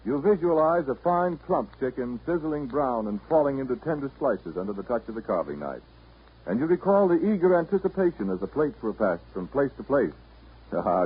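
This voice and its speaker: A male radio announcer giving a savoring, dramatic 1930s sales pitch. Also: slightly rough. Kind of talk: 1930s radio advertising read. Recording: tinny 1930s radio recording.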